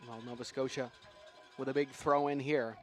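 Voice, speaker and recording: A man doing live, excitable play-by-play commentary on a rugby sevens match. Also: mid-range voice, moderate pace, music in the background. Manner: excitable, builds to shouts at big plays.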